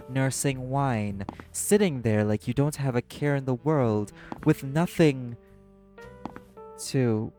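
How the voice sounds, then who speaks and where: A man's voice: mid-range voice, mellow, natural voice. Man acting guarded socialite crime lieutenant over music. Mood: wary, startled. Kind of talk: dramatic audio roleplay acting.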